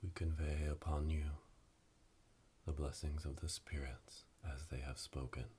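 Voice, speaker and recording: A man playing a solemn witch leading an initiation in a hushed, breathy ASMR whisper, ceremonial and monotone, close to the mic. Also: extremely low pitch, unhurried, slight background noise. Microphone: close binaural microphone.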